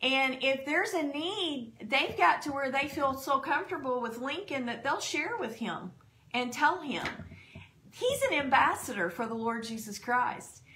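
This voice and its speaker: Slow, bright-voiced woman pastor praying. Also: high-pitched, animated, a little hiss or hum. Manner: fervent, prayerful, citing scripture verses. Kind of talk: spoken prayer and testimony on a church broadcast. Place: home dining room. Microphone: desk podcast microphone.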